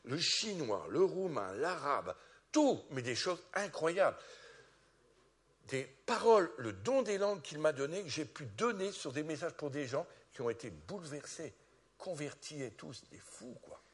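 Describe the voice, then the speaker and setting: Man, pastor, sermon, animated, repeats words, lively pitch. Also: measured pace. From France. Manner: animated, rising to loud peaks. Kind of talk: testimony sermon.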